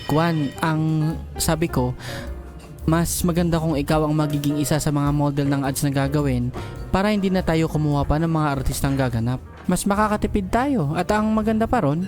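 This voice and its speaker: A man's voice: fairly high pitch, normal speed, music in the background, close to an everyday voice. A man playing an office boss with a Filipino accent in a romantic audio drama, businesslike but awkwardly flirtatious. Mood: smitten, awkward. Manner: businesslike, with smitten slips he covers up. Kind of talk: romantic audio drama acting, Tagalog-English mix.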